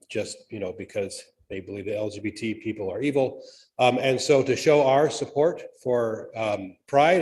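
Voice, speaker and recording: A man's voice: medium pitch, a little mellow. A man making an informal church announcement in a casual, somewhat hesitant way. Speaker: congregation member making announcements.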